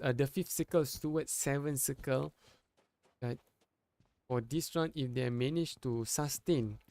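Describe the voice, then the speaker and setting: A young Malaysian man, a native Malay speaker, doing live esports commentary in English, halting with restarts and lifting his voice when fights break out. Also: a little mellow, no room sound. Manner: halting, with frequent restarts and fillers; rises in pitch and volume at fights. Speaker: esports caster.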